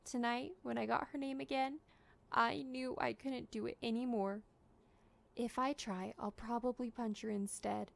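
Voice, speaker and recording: A woman's voice: a little high, fairly even intonation, measured pace, vocal fry. A woman voicing a tearful, earnest little boy in a storybook, with a pleading, choked delivery. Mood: upset, pleading.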